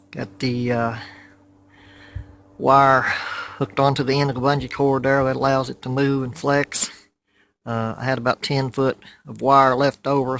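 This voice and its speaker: A plain-spoken man casually explaining a hobby setup in an unhurried way, outdoors behind a handheld camera. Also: mid-range voice, a bit bright, dry recording. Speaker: radio hobbyist. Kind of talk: casual home video walkthrough. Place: outdoors in a wooded yard by a house. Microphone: handheld camera, held by the speaker.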